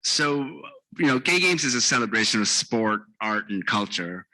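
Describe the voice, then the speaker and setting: A man's voice: fairly low pitch, sing-song, normal speed, bright, forceful tone, rough recording. A man hosting a press briefing, putting questions to guests in a polite, informal, slightly hesitant way. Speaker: event host and organizer.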